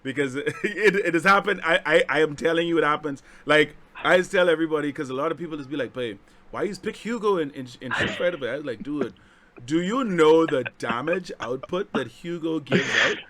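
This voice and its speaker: A man's voice: a little high, fairly quick, a bit bright. A man hosting a casual chat, talking emphatically in slang and laughing often. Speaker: host.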